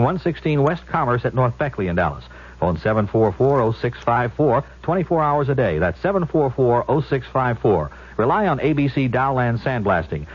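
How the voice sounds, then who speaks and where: A man's voice: medium pitch, breathy edge. A man reading a scripted radio advertisement as an announcer. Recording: muffled old radio recording.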